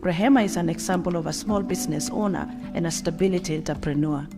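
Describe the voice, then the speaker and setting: A woman narrates a documentary script in an even, measured delivery. Her voice sits low for a woman, and clear instrumental music plays beneath her.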